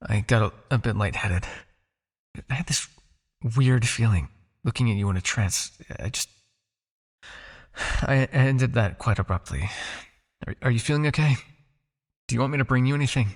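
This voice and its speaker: A man acts out a possessive male vampire captor in an intimate hypnosis roleplay. His mid-pitched voice has a heavy, crackling fry. He speaks straight to the listener, coaxing and teasing as a captor sure of his hold. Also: close to an everyday voice. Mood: concerned, hesitant. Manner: coaxing, teasing, with pauses, sighs and murmured asides.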